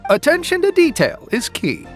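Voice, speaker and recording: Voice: sits high, wildly swooping pitch, moderate pace. An actor voicing a scatterbrained, fussy and sheepish small forest animal in a video game, stammering defensively. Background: music.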